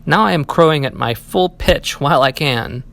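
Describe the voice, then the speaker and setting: A man's voice: fairly high pitch, expressive, moderate pace, creaky, a bit bright. A man voicing an aggrieved, talkative farmyard rooster in a storybook, complaining about his lot. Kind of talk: storybook character reading.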